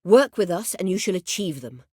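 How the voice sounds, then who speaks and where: A proud, vengeful sorceress from a fantasy video game. Her voice sits fairly low and swings widely in pitch, recorded close and dry. She speaks in haughty, formal phrases edged with sardonic bite. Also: very animated, dark tone, husky, dry recording. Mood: measured, persuasive. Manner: haughty, formal, measured, biting sarcasm. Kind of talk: video game character dialogue.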